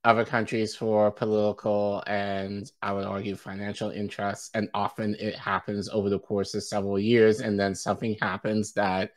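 Low-voiced American man, casual and halting. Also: level tone. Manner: halting, colloquial, profane. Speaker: news show co-host. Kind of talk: casual political commentary. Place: indoor room at home, video stream.

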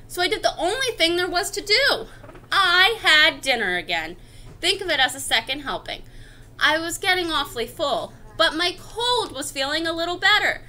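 A woman's voice: sits high, sharp tone, slight background noise, exaggerated voice. A woman voicing an indignant, self-justifying wolf telling his side of a picture-book story in a chatty, confiding, theatrical way. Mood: confiding, smug. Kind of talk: expressive picture-book read-aloud.

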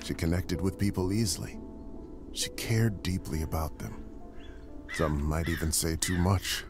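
A middle-aged uncle mourning his beloved niece in a game drama. The voice is very low, with measured, solemn oratory full of tender pride. Music plays behind the speech. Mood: mournful, tender. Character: grieving proud uncle giving a niece's eulogy.

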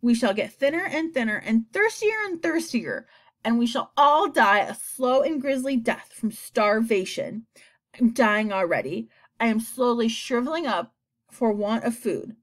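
A woman's voice: a little high, animated, unhurried. A woman voicing a gloomy, blind male earthworm in a storybook, complaining and snapping in melodramatic despair. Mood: self-pitying.

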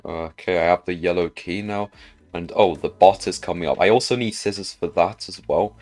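A man's voice: sits low, moderate pace, darkish tone, soft background music. A man giving casual gameplay commentary, chatting and thinking aloud as he plays. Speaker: gamer narrating own play.

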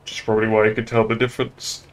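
A man's voice: lowish voice, little pitch movement, very fast, soft background music. A young British man chatting casually and laddishly on a gaming livestream. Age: young adult. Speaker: game streamer. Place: indoor room at a streaming desk.

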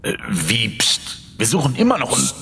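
A man's voice: wildly swooping pitch, normal speed, gravelly, fairly bright tone, full character voice. An actor playing a brash, sarcastic and impatient young man in a horror audio drama. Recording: long reverb, rumbling noise.

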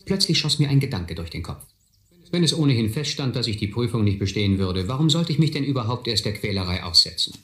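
A man's voice: mid-range voice, sing-song, fast talker, fairly bright tone. An actor playing a reflective man who narrates his boyhood adventures in a radio play. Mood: reflective, yearning. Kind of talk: scripted first-person radio play narration. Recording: echoey, slightly noisy audio drama recording.

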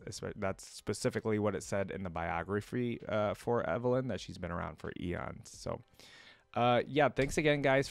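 A man's voice: on the low side, creaky, no room sound. A man in his twenties chatting casually to viewers as a reaction video host, rambling and tentative.

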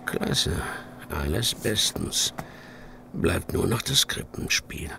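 An actor playing a kindly parish priest in a horror radio play. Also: male voice, sits low, lively intonation, takes it slowly, creaky, in a large hall, background noise, heavily acted. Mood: kindly, upbeat. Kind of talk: horror audio drama acting.

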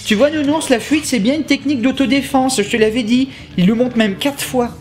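A man's voice: extremely high pitch, fairly quick. A man voicing a naive, indignant kid who talks to a teddy bear in a comedy sketch. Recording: music behind the voice.

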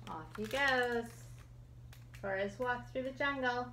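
A middle-aged woman reading a picture book for children, expressive and putting questions to young listeners. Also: fairly high pitch, takes it slowly, roomy sound, a little hiss or hum. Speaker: children's librarian, storytime reader. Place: small room indoors.

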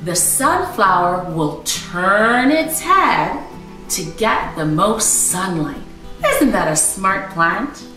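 A woman in her thirties reading a storybook aloud to young children as a teacher, with expressive emphasis and explanations. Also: medium pitch, takes it slowly, distant mic, faint music behind. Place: small living room at home, indoors. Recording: roomy echo, away from the mic.

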